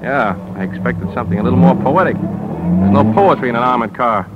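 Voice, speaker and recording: An actor playing a defiant, dryly sardonic newspaper editor in a 1950s radio drama, with clipped delivery. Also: male voice, expressive, a little fast, natural voice. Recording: noisy, muffled 1950s radio recording with car rumble.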